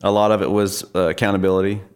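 A man in his forties with a very deep voice talks as a podcast guest. He is easygoing and self-deprecating, with plenty of ums and you-knows. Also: flat delivery, fast, clean tone. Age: 40s. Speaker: worship leader, podcast guest. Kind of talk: casual interview conversation.

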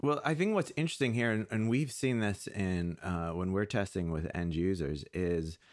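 A man being interviewed, explaining things conversationally in a halting way and often voicing imagined dialogue. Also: low voice, dry recording, clean studio sound. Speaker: interview guest, product manager.

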